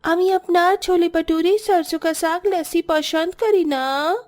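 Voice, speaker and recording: A high, sweet cartoon voice for an earnest young Bengali daughter-in-law, put on by a woman. She speaks politely with drawn-out words and a Bengali accent, yet holds her own. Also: darkish tone, faint music behind. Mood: offended.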